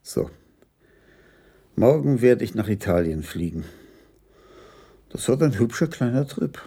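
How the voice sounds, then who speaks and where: An actor playing a calm, shrewd senior police commissioner in a radio crime drama, with measured, probing speech. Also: male voice, medium pitch, very noisy. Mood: dry, calm. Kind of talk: 1980s German radio drama acting.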